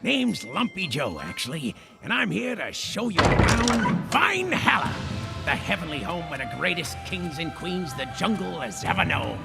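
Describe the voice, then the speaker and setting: A man voicing a brash, folksy old jungle king spirit in a cartoon, with a gravelly voice and slangy, boastful, blunt talk. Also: sits high, very animated, moderate pace, loud music behind the voice, exaggerated voice. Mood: brash, showy.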